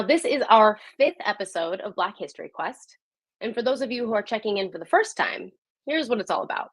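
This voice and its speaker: A woman's voice: on the high side, a bit bright, dry recording. Woman hosting with a close, dry sound. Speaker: host of a live history program. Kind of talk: scripted live show introduction.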